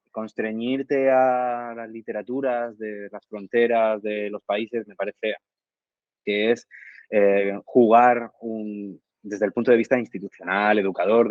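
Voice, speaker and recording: A man answering radio interview questions in a reflective way, often pausing with fillers and tag questions. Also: fairly low pitch, dry recording, highs cut off. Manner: thoughtful, frequent "eh" and tag questions. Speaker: guest, reading promoter.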